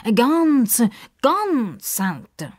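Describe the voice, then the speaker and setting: A woman playing an excitable, pompous male crocodile in a children's story, with emphatic, old-fashioned manners. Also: a little high, drawn-out words, pitched above the performer's own voice, heavily acted. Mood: defensive.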